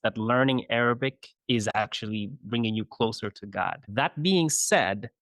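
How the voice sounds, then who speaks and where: Chaplain guy on a podcast, mid-pitch, explains things patiently, says "you know" a lot, dry close mic. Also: normal speed, a bit bright, dry recording. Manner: thoughtful, explanatory, frequent "you know" and "right?". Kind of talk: conversational interview.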